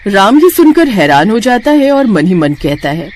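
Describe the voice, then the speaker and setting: A woman tells a scripted cartoon moral tale as its narrator. Her pitch is medium and moves around in an animated way. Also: moderate pace. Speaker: storyteller.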